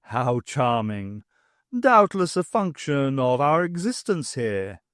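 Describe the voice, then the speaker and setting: A man's voice: medium pitch, big pitch swings, slow pace, a bit bright, no room sound, clean studio sound. A man with an English accent voicing a large, jovial alien warlord who talks in a fruity, pompous way.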